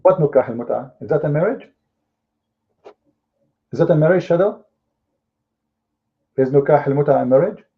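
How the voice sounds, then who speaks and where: A man's voice: a little high, moderate pace, creaky, pauses often. A man whose first language is Arabic, with an Arabic accent, arguing heatedly in a live debate and firing off mocking rhetorical questions. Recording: phone-like lo-fi audio.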